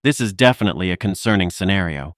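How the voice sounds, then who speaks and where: A man playing a composed weather livestreamer, giving measured, informal technical forecast commentary. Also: sits low, animated, dry recording, clean studio sound. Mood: focused, analytical.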